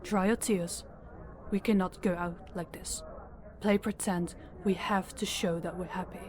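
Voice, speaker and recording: A young woman playing a composed, dutiful and quietly protective princess in an audio roleplay drama. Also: fairly low pitch, fairly even intonation, measured pace, a little hiss or hum, natural voice. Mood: soothing, urgent.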